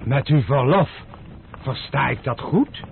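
A man's voice: mid-range voice, lively intonation, measured pace, creaky. An actor playing a loyal but grumbling male servant who speaks formally and deferentially in a radio drama. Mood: skeptical. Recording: tinny noisy old broadcast.

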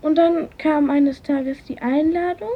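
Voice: high-pitched, fairly even intonation, measured pace. A child retelling a fairy tale from memory, recorded on lo-fi cassette tape.

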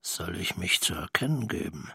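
An actor playing a cold, imperious older Nazi war criminal in a German radio drama, speaking in a rough, calculating way. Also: male voice, low-pitched, animated, moderate pace, bright, forceful tone, no room sound, studio quality, full character voice. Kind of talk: German radio drama acting, inner monologue.

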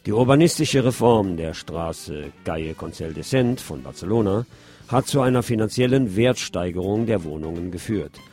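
A middle-aged man hosting a radio show, reading news commentary in a measured, opinionated way. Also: deep voice, expressive, warm tone, soft background music. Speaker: radio host.

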